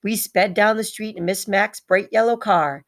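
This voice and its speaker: A woman's voice: medium pitch, moderate pace, voice pushed up, close to an everyday voice. A woman voicing a small, proud, heartbroken male pet hamster in a dramatic children's storybook read-aloud, repeating words for emphasis. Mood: proud, pleased.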